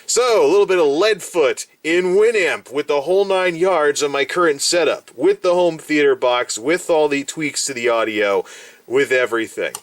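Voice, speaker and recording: A man's voice: on the high side, moderate pace, a little hiss or hum. A man talking off the cuff as a gadget vlogger, rambling and wry with playful asides. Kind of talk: casual off-the-cuff product demo. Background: music playing from speakers at times.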